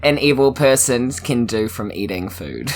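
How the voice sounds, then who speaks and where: A woman's voice: rumbling low, lively intonation, a little slow. A woman chatting casually as a player in a tabletop game. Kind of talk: casual chat. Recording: music under the voice.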